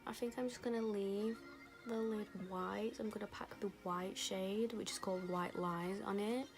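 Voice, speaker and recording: Chatty young woman, voice going hoarse. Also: mid-range voice, steady tone, moderate pace. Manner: chatty, rambling, lots of fillers. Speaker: beauty vlogger. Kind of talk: casual makeup tutorial chat. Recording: music playing behind.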